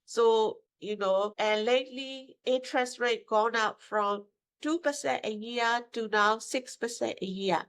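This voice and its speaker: Woman in her fifties, slightly high. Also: unhurried, fairly bright tone, clean tone. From Myanmar. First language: Burmese. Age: 50s. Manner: broken English with hesitations, emphatic tag questions. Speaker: guest, mortgage broker. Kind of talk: casual interview answers.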